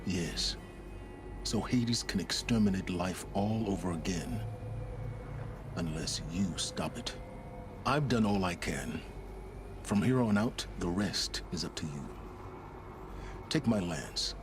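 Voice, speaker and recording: A man playing an enigmatic, coolly self-assured middle-aged scholar in a video game, measured and aloof. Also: low voice, animated, background noise. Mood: instructing. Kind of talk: cinematic video game acting.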